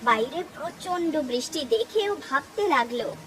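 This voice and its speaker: A woman's voice: sits high, animated, unhurried, a bit bright. A woman narrating a scripted cartoon story. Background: background music.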